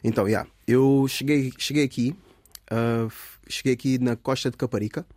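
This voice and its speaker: A man's voice: medium pitch, fairly even intonation, normal speed, a little mellow, dry recording. Brief: young Brazilian-born male dancer, mixed Brazilian and European Portuguese accent, hesitant and rambling, dry close sound. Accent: mix of Brazilian and European Portuguese. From Brazil (Minas Gerais). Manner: rambling, hesitant, many fillers. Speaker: guest, dancer. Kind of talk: casual radio interview.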